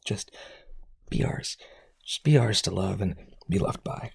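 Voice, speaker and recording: A man playing a lonely vampire aristocrat in a romantic audio roleplay, pleading earnestly and haltingly in a breathy, husky voice. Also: expressive, no echo, heavily acted. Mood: earnest persuading. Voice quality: husky, breathy low voice, often near whisper.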